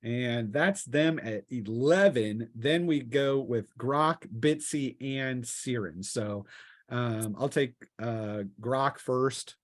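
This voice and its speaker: A man's voice: mid-range voice, takes it slowly, no room sound, clean studio sound. A man running a tabletop roleplaying game as game master, narrating combat casually with vivid scene-setting.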